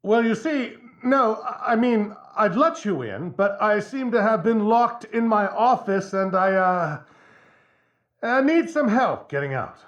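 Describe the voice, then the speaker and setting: Stuffy, animated small-town judge. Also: male voice, sits high, unhurried, no echo, highs cut off. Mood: anxious, pleading. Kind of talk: video game voice acting.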